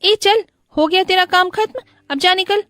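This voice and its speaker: A woman's voice: very high-pitched, moderate pace, sharp tone, voice pushed up. A woman voicing an arrogant, spoiled rich young daughter-in-law in a cartoon moral story, scornful and bossy. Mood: haughty, scolding.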